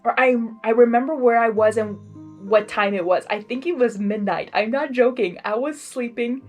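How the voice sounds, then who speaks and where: A woman's voice: a little high, normal speed, a little mellow, soft background music. A woman giving gushing, exclamatory fan commentary as she hosts a story reading. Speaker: host of a blind-reading series. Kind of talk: excited fan commentary between story narration.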